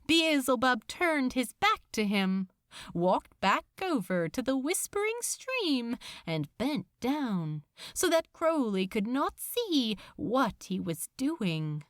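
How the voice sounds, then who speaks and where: A woman's voice: high voice, very animated, slow pace, dry recording, clean studio sound. A woman reading a story aloud as an audiobook narrator, in a dramatic scripted style.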